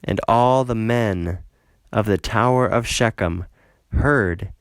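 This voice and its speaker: A man narrating a scripted Bible reading in a measured, solemn way. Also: on the low side, no echo.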